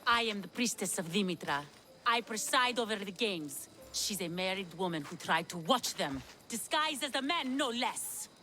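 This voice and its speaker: A stern cold priestess. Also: female voice, medium pitch, lively intonation, normal speed, pushed and bright. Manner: cold, commanding. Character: stern priestess presiding over the games.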